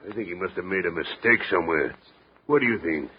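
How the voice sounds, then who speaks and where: An American actor playing a laid-back, casual bar worker in a 1950s radio drama. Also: male voice, sits low, fast, lightly acted. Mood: easygoing. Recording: dull, noisy old radio recording.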